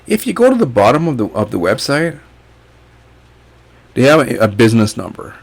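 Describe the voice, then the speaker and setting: A blunt man from Guyana talks viewers through his screen in a casual, mocking rant, swearing as he goes. His voice sits at an ordinary middle pitch for a man. He sounds like an online creator talking straight to his audience. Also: very animated, moderate pace, gravelly, slightly rough. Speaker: online income youtuber.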